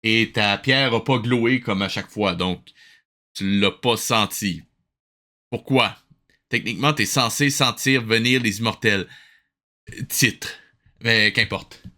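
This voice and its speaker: A man's voice: lowish voice, slow, pauses often, pushed and bright. A man hosting a livestream, giving casual reaction commentary in a sarcastic, exasperated way with some swearing. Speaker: livestream host.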